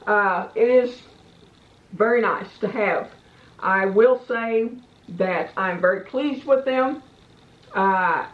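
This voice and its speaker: Folksy lady doing a casual product review, kinda rambly, echoey room, slightly muffled audio. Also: on the high side. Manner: plain-spoken, folksy, meandering.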